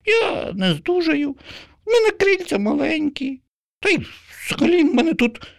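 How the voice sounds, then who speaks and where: Storybook sparrow: small shy male bird, very high squeak. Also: very animated, moderate pace, vocal fry, dry recording, studio quality, full character voice. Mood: sad. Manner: high squeaky peeping, soft and sad. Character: tiny timid male sparrow chick, shy.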